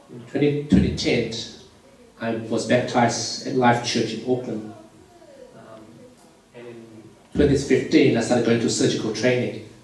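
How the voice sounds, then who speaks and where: A man from Malaysia in his forties, a surgeon telling his life story to a church youth group in a relaxed, plain way with many "um"s. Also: mid-range voice. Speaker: surgeon giving a testimony. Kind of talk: personal testimony talk to youth. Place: church hall. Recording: very echoey hall, voice from far off, faint music.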